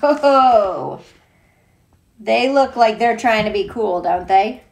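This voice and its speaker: Slow-paced woman doing a children's read-aloud. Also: fairly high pitch, gravelly, darkish tone. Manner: playful, chatty, asks listeners questions. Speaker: teacher reading a picture book aloud. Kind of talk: children's read-aloud with casual asides to young viewers.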